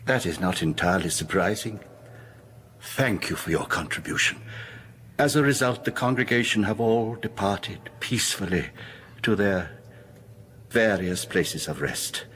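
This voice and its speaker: An actor playing an old vampire patriarch in a radio horror drama, formal, measured and quietly menacing. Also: male voice, sits low, expressive, a little hiss or hum. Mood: grave, satisfied. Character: courtly old vampire patriarch, calm and commanding.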